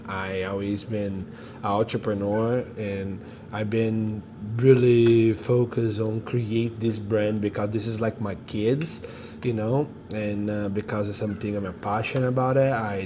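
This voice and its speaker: A man's voice: on the low side, noisy background. A man, chatting casually to a phone camera as an entrepreneur vlogger, rambling and upbeat with lots of fillers and restarts. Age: 30s. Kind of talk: casual vlog talk to camera.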